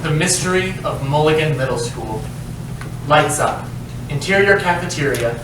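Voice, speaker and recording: Guy reading stage directions at a play reading, highish voice, echoey room. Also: expressive, normal speed. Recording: echoey noisy room from far off.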